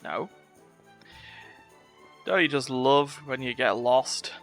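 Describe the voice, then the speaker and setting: A man's voice: medium pitch, takes it slowly, fairly bright tone, soft background music. A man hosting a casual live game stream, chatty and wry, poking fun at himself. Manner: self-deprecating, wry, chatty. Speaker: video game streamer, host. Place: indoors at home, live stream, game sound behind.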